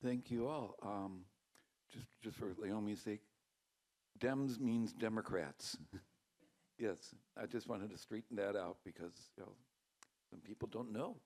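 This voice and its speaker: A man moderating a community panel, relaxed and chatty. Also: fairly low pitch, a little slow, long pauses. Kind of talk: casual moderating.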